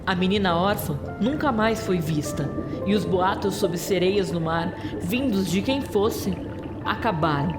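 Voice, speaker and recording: A woman's voice: on the low side, unhurried. A woman narrating a scripted story as part of an audio drama. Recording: long reverberant hall-like echo. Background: clear music bed.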